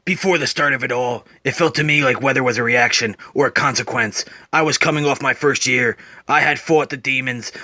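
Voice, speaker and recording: A brooding male vigilante, pitched well down into a low register. He recounts his memories solemnly and deliberately, as in a comic-book drama. The sound is dry and close. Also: sharp tone, dry recording. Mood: grim reflective.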